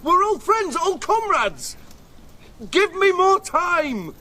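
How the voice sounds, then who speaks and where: Very high, strained Roman politician. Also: male voice, sing-song, slow pace, sharp tone, full character voice. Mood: placating, anxious. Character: desperate Roman father and politician, pleading, slippery. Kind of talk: British radio drama acting.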